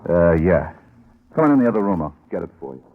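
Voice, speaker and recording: A man's voice: deep voice, big pitch swings, fast talker, loud music behind the voice. An American actor playing a weary, blunt working-class family man in a 1950s radio drama, plain-spoken and colloquial. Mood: resigned, bitter. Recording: muffled, lo-fi old radio recording.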